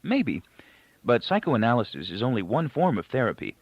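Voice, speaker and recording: Man reading as an earnest, intellectual young student, gravelly. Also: mid-range voice, big pitch swings, no room sound, pitched above the performer's own voice. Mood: earnest, thoughtful. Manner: measured, thoughtful explaining. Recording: dull, lo-fi, close dry recording.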